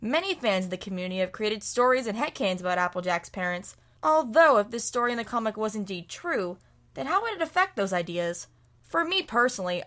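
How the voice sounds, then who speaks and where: A woman's voice: medium pitch, bright, forceful tone. A woman giving scripted analysis commentary as a guest fan commentator.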